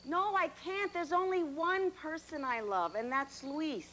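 A woman's voice: high-pitched, unhurried, pushed and bright. A woman playing a firm but kind, exasperated object of affection in children's TV comedy, earnest and emphatic, with some background noise.

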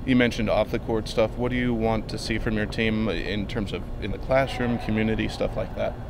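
A man's voice: lowish voice, fairly even intonation, a little fast, creaky, background noise. A man in his thirties hosting a sports interview in the manner of a radio broadcaster. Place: large empty indoor arena.

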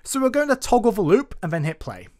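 Casual tutorial presenter with a swooping pitch. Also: very animated, fast, darkish tone. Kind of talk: informal screencast coding tutorial narration. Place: voice-over for a screen recording.

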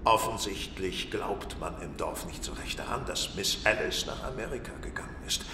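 An actor playing a keen, self-assured detective in a German radio play, speaking in deliberate, formal, precise sentences. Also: male voice, sits low, natural voice. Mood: assured, explanatory. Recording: roomy, distant, echoing, faint noise.

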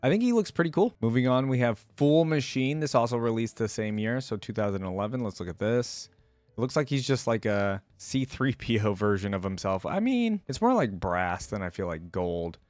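A man's voice: medium pitch, sing-song, moderate pace, darkish tone, no echo. Young man, dry close mic, reacting. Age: young adult. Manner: conversational, thinking aloud. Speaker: reaction video host. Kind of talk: casual game reaction commentary. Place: small indoor room at a desk. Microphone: close desk microphone with headset worn.

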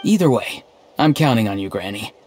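A man's voice: on the low side, expressive, fairly quick, heavy background noise, close to an everyday voice. An actor playing an earnest, naive young man in a video game, speaking in a literal, understated way. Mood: earnest, pleading. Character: earnest, naive, deadpan young man, an odd gentle hero.

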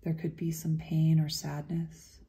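A woman with a very soft, dark, relaxed voice guides a meditation. Her pitch sits low, and she speaks gently and without hurry, leaving long, soothing pauses between phrases.

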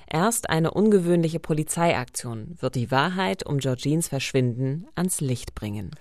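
A woman's voice: sits low, lively intonation, measured pace, a little mellow, no room sound, studio quality. A woman presenting a crime podcast as co-host, introducing and explaining.

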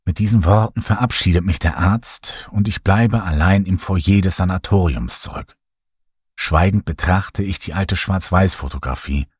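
Male horror-audiobook reader; husky, fairly low, measured and dramatic, dry close recording. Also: a little mellow, no echo. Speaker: horror story narrator. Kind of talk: scripted audiobook narration.

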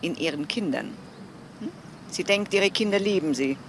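A judgmental, chatty gossip in a crime drama, her low voice swinging expressively. She sounds knowing and talkative, in a clearly noisy outdoor recording. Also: animated, moderate pace. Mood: knowing, dismissive. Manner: talkative, knowing, answers for others. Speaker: actress as gossiping acquaintance.

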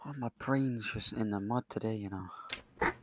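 A man's voice: fairly low pitch, a little slow, a little hiss or hum. A British man chatting in casual online roleplay as a lawyer, with slangy, joking, exaggerated banter.

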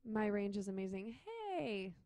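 A woman's voice: mid-range voice, fairly even intonation, normal speed, warm tone, no echo. Bubbly young woman host, mid-range voice, dry handheld-mic sound with a little acoustic guitar underneath. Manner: bubbly, giggly, chatty with viewers. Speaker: band singer hosting a livestream. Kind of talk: casual chat between songs. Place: indoor rehearsal room with drum kit. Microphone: handheld microphone.

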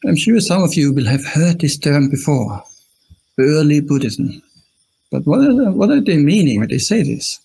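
Austrian guy, middle-aged, slightly husky voice, chatty joking dharma talk on a webcam. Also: a little high, sing-song, moderate pace, mellow. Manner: jokey, chuckling asides, talks to the online audience. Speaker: Buddhist monk giving a dharma talk. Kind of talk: relaxed, friendly dharma talk with audience questions. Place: small indoor room.

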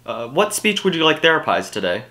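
A young man with a mid-pitched, bright voice plays the straight man in a comedy sketch, dry and matter-of-fact. He speaks from a couch in a living room. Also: sing-song, fairly quick. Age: young adult. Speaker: sketch actor.

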